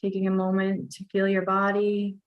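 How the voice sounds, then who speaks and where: A woman leading a guided relaxation and breathing exercise, giving calm, unhurried instructions. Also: mid-range voice, highs cut off.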